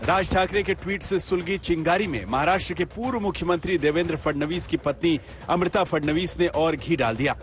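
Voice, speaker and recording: Higher-pitched man narrating news over music. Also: brisk. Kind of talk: scripted news report narration. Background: background music.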